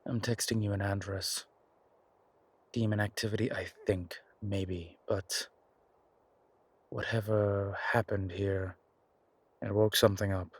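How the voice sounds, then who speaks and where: Man acting as a low, husky demon investigator. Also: flat delivery, takes it slowly, pauses often. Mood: grim, insistent. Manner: terse, commanding, colloquial. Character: gruff, urgent male incubus demon investigator, protective, wryly friendly. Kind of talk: immersive audio roleplay acting.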